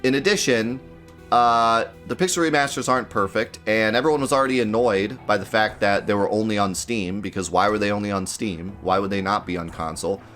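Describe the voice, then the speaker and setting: A man hosting a gaming channel, sounding a bit nasal and congested from a cold, talking casually and haltingly with lots of fillers as he airs his gripes. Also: fairly low pitch, fairly bright tone, soft background music. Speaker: let's play host. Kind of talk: casual gaming commentary to viewers.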